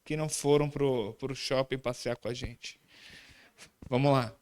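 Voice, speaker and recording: A man's voice: mid-range voice, fairly even intonation, a little slow, a little mellow. A man preaching in a church, introducing his student group and then moving into the sermon with chatty, informal asides to the congregation. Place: church sanctuary.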